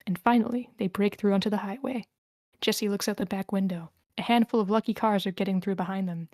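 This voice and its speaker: A woman's voice: mid-range voice, darkish tone, no room sound, studio quality. A woman reading the stage directions of a screenplay as scripted narration at a table read.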